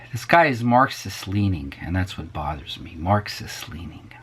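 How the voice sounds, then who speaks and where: A man's voice: low-pitched, expressive, a little slow, slight background noise. A man hosting a casual vlog, rambling and musing to viewers, often dropping to a near whisper. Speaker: vlog host.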